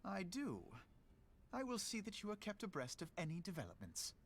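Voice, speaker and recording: An actor playing an earnest, idealistic young diplomat in a fantasy game, speaking formally and eloquently. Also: male voice, high-pitched, wildly swooping pitch, normal speed, slight background noise, full character voice. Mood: earnest, resolved. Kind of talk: scripted fantasy game dialogue.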